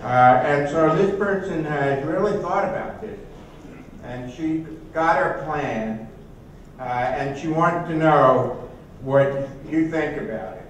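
A man in his sixties giving a conversational keynote speech in an informal, halting way. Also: fairly high pitch, expressive. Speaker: keynote speaker. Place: large hotel conference ballroom, at podium. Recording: echoey hall from far off, noisy.

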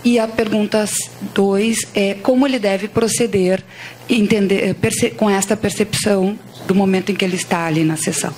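A woman's voice: medium pitch, fairly even intonation, normal speed, darkish tone. A woman with a Brazilian accent, an audience member in a large auditorium, asking a long, formally worded question. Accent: Brazilian Portuguese.

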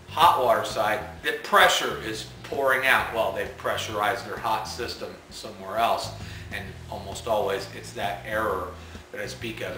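A middle-aged man explains in a patient, step-by-step way, now and then repeating himself. His voice is bright and forward. It rings in a small, echoey room, with faint music behind. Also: mid-range voice. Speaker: company technical presenter. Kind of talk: instructional talk to camera. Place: small indoor utility room with plumbing.

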